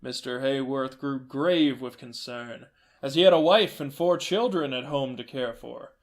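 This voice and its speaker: A man narrating a fairy tale in a gentle, measured storytelling manner. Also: mid-range voice.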